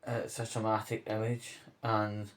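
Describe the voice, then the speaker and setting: A man speaking casually to his viewers in a vlog update, earnest and hesitant, pausing between short phrases. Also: fairly low pitch, monotone. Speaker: vlogger.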